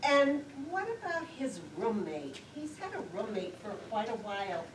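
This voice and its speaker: A woman's voice: on the high side, big pitch swings, normal speed. A woman acting a chatty, nagging, self-absorbed older sister in an amateur stage play. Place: lakeside community theater stage, picked up from afar. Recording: distant echoey stage recording, clear noise.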